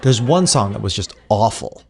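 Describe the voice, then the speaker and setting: A man's voice: fairly low pitch, expressive, normal speed, vocal fry, very noisy. A man speaking in a comedic podcast skit, in an offhand way.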